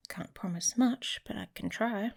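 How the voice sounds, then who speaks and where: A woman voicing a protective, teasing werewolf mate in an intimate ASMR romance roleplay, speaking softly and casually, often slipping into a whisper. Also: mid-range voice, unhurried, gravelly. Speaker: audio roleplay performer.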